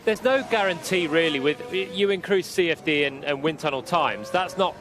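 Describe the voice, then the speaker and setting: A man's voice: a little high, lively intonation, normal speed, noisy background. A man working as a motorsport pundit, giving conversational analysis during live commentary.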